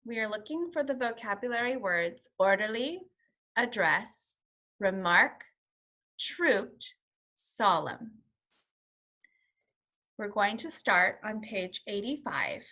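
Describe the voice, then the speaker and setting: Animated, bright-voiced woman teacher reading aloud. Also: mid-range voice, takes it slowly, pauses often. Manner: expressive, instructional. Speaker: first-grade teacher. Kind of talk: children's read-along with vocabulary explanations.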